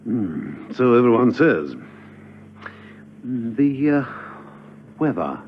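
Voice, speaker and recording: Smooth casual spy gent, musing n polite, super swoopy intonation, muffled old radio drama. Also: male voice, medium pitch, sing-song, drawn-out words, exaggerated voice. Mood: musing, probing. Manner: hesitant, musing, politely sly. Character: suave, nonchalant, quietly probing male gentleman secret agent. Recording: dull hissy old radio recording.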